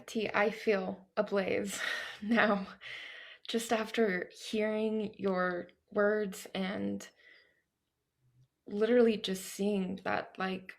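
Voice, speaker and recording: A woman's voice: medium pitch, vocal fry. A woman speaking effusively in a reflective post-show discussion, often searching for her words. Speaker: host responding to a performer.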